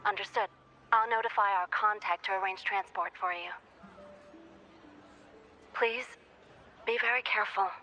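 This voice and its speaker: Bright, businesslike woman officer. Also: mid-range voice, fairly even intonation, unhurried, pauses often, faint music behind. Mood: concerned. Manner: formal, businesslike. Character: efficient, polite, concerned female operations officer. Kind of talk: video game dialogue.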